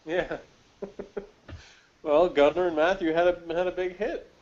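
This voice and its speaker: A man's voice: sits high, fairly even intonation, measured pace, a crowd in the background. A man chatting casually as a podcast reviewer, in a rambling, jokey way. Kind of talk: casual chat. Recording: dull, lo-fi voice recording.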